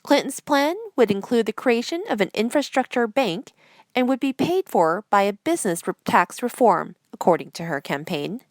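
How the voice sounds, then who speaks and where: Lively, higher-pitched woman newsreader. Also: dry recording, studio quality. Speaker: news presenter. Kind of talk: scripted news headline reading.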